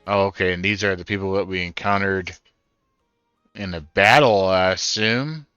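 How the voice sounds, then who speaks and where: A man casually narrates his playthrough, musing aloud as he goes. His voice is low and clear, recorded dry with game music behind it. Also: normal speed, sharp tone, no room sound, muffled recording. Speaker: gaming streamer. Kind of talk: casual first-time playthrough commentary.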